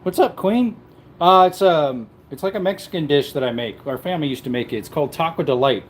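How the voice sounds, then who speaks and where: A man's voice: a little high, expressive, fairly quick, a little hiss or hum. A man casually chatting to viewers while cooking at home, rambling in an easygoing way. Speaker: cooking livestream host. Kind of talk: casual live-stream chat while cooking.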